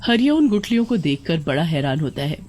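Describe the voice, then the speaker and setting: A woman's voice: low-pitched, big pitch swings, brisk, a little mellow. A woman storyteller reading a scripted tale as narrator.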